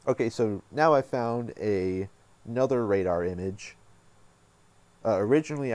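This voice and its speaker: A man hosting a casual software tutorial, talking informally and thinking aloud as he works. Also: lowish voice. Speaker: tutorial host.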